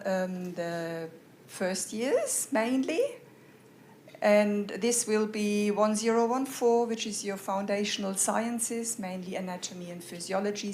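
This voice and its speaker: German accent lady lecturer, mid pitch, walks students through her topics. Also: slight background noise. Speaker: science lecturer. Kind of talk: self-introduction and course overview.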